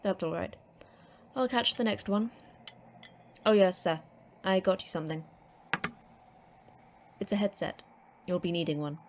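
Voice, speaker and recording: A woman playing a terse female manager of a secret base, speaking in a deadpan, sardonic manner in an audio drama. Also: fairly low pitch, measured pace, pauses often, noisy background, lightly acted.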